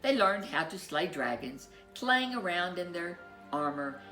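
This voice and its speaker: A woman storyteller reading a children's tale in a playful, expressive way with drawn-out emphasis. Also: lowish voice, echoey room, soft background music. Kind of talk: animated scripted children's story narration.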